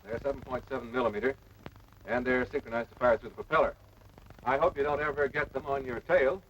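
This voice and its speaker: An actor playing a seasoned, patient air force officer giving measured, authoritative instruction in a 1940s military training film. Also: male voice, mid-range voice, fairly even intonation, fairly bright tone, close to an everyday voice. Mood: instructive. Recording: noisy dull vintage film soundtrack.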